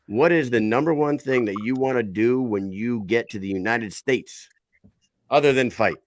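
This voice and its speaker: A man's voice: mid-range voice, normal speed, no room sound. A man hosting a casual fight-talk show, chatty and rambling, often restarting his sentences. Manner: chatty, rambling, self-correcting. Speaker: host.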